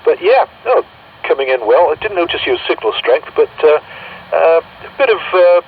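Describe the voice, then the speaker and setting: A man's voice: a little high, very animated, normal speed. A British man running a radio exchange over CB, speaking politely and a little hesitantly. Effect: CB radio transmission. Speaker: CB radio net controller. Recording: muffled, noisy CB radio speaker.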